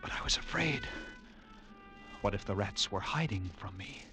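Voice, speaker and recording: An actor playing a haunted lighthouse keeper, narrating an old radio drama with intense, halting delivery and suspenseful pauses. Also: male voice, on the low side, expressive, over music, close to an everyday voice. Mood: hushed suspense. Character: haunted male lighthouse keeper recounting a grim ordeal.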